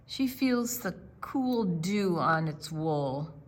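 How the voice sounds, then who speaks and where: A woman reading a picture book aloud to young children in a deliberate, storytelling way, with asides to her listeners. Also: fairly low pitch, animated, slight background noise. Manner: slow, deliberate phrasing with questions and asides to the listeners. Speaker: library storytime reader. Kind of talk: expressive read-aloud narration for young children.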